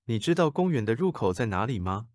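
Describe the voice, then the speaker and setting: A man reads short translated sentences for a language lesson, one after another. He moves quickly and keeps his delivery even. The recording is dry and close. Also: medium pitch, no room sound. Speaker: translation reader.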